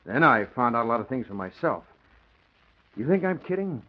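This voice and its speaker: Lively, slangy newspaper reporter. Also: male voice, mid-range voice, moderate pace. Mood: eager insistence. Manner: slangy, emphatic. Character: brash slangy newspaper reporter, eager and streetwise. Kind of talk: 1940s radio drama acting. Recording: dull old radio recording.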